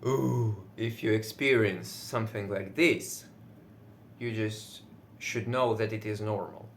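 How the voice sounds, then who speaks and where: A man whose first language is Russian, speaking English with a Russian accent in casual solo talk, now and then pausing to search for words and swearing lightly. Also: lowish voice, fairly even intonation, slight background noise. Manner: says he is loud most of the time. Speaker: video creator.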